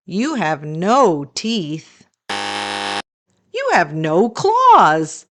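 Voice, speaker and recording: A woman reading a picture book aloud to children as a storytime host, in a deliberate storyteller's delivery. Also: on the low side, noisy background.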